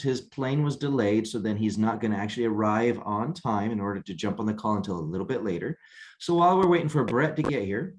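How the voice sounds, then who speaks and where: Low-voiced male call host, easygoing and halting. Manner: relaxed, halting, repeats words. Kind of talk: hosting a weekly video call. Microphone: video call.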